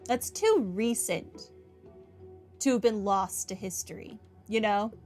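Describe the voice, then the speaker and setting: Female gaming streamer, conversational and wry, leisurely pace, soft music behind. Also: medium pitch, slow. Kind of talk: casual playthrough commentary. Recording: game music faintly behind.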